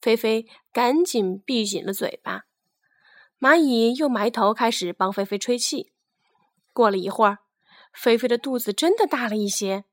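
A woman with a fairly high voice tells a fairy tale for children. Her reading is expressive and scripted, and the recording is close and dry. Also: no echo. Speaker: children's storyteller.

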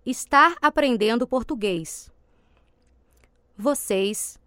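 A woman reading scripted language drill prompts as an instructor, with measured, deliberate enunciation. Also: on the high side, expressive, pushed and bright, clear, resonant tone.

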